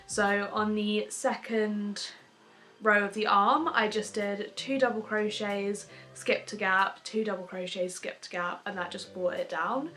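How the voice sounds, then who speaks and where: A woman's voice: medium pitch, steady tone, a little slow, a bit bright. A young woman giving a casual, chatty step-by-step crochet tutorial at home, with frequent ums.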